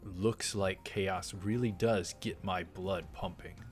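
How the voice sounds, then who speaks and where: Male let's player, lowish voice, dramatized story reading, soft game music underneath. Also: steady tone, slow. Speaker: let's player reading a visual novel aloud. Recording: music behind the voice.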